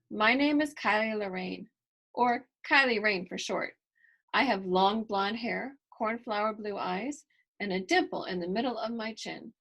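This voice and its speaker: Storybook lead: girly seven-year-old voiced by a woman, light higher pitch, enthusiastic and emphatic. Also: a bit bright. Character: bubbly, girly, fashion-proud seven-year-old farm girl. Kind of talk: first-person children's storybook narration.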